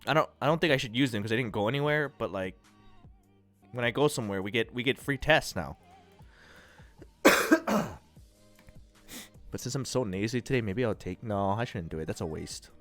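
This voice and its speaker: A stuffy-nosed man with a middling pitch talks loosely to his stream, wandering between thoughts with easy, self-mocking asides. Faint music plays quietly beneath his voice. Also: normal speed, pauses often. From United States. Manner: rambling, relaxed, self-deprecating, frequent fillers and laughs. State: congested and nasally. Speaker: livestream host. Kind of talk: casual chat reading and answering viewer chat.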